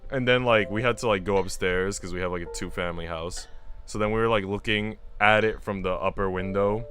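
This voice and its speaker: A man's voice: low-pitched, fairly even intonation, fairly quick, fairly bright tone. A man streaming a visual novel, moving between reading the story aloud and casual, slangy, chatty asides. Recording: music behind the voice. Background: music.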